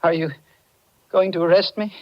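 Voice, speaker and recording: Anxious, high-voiced American husband played by a man. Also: fairly even intonation, moderate pace, lightly acted. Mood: nervous, evasive. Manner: anxious, stammering, evasive. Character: nervous, guilty husband, a cornered hit-and-run driver. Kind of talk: 1940s radio acting. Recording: muffled 1940s radio recording.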